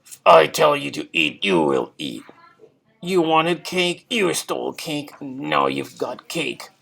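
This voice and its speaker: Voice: mid-range voice, very animated, slow pace, gravelly, heavily acted. A reader voicing a furious, bullying older headmistress from a children's storybook, with a harsh, commanding, menacing delivery. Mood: furious, yelling. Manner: commanding, menacing, shouted emphasis.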